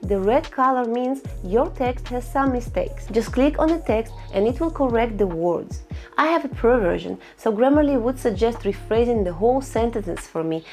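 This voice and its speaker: Female tutorial host, fairly high voice, instructional and a bit cheeky, soft music bed. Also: animated, normal speed. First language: Russian. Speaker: online income tutorial host. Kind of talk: screen-recorded tutorial voice-over.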